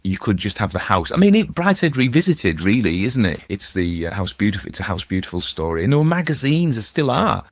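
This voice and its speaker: Deep-voiced man musing about books. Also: very animated, fast talker, few pauses, no echo. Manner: musing, self-interrupting, conversational. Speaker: host and narrator of ghost story readings. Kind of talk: rambling informal literary commentary.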